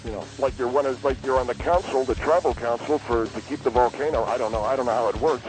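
A man's voice: medium pitch, darkish tone, soft background music, lightly acted, poor audio. A man doing a rambling gadget salesman. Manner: halting, full of ums and uhs.

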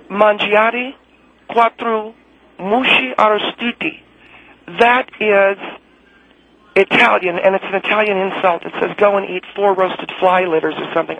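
A man's voice: sits high, gravelly, fairly bright tone, a little hiss or hum, voice pushed up, full character voice, poor audio. A man voicing an indignant American woman caller who interrupts and sneers at others on a radio show. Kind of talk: comedy radio call-in bit.

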